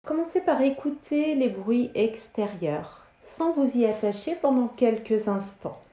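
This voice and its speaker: Slow, mellow woman guiding relaxation. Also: a little high, expressive. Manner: soothing, measured, with pauses between short phrases. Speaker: yoga nidra guide. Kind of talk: guided relaxation meditation, instructing listeners. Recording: roomy, reverberant sound.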